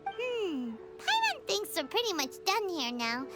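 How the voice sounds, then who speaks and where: A woman voicing a small, excitable, blunt childlike fairy companion in a video game. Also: extremely high pitch, a little slow, over loud music, exaggerated voice. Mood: curious, chirpy. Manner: refers to herself in third person. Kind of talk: video game character dialogue.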